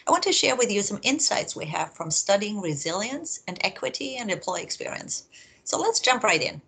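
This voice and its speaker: A woman's voice: mid-range voice, very animated, brisk, pushed and bright, husky. A middle-aged woman with an Austrian German accent, a research vice president giving an upbeat, explanatory prepared talk from her home office.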